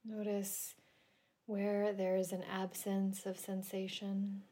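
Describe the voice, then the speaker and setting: A woman leading a guided relaxation meditation in a gentle, soothing, deliberate manner with long pauses. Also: medium pitch, monotone, breathy edge. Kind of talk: guided yoga nidra meditation.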